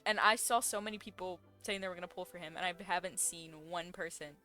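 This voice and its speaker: A woman's voice: lowish voice, fairly quick, bright, forceful tone. A young woman giving casual, informal, reactive commentary as she plays a game. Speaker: game streamer. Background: game music.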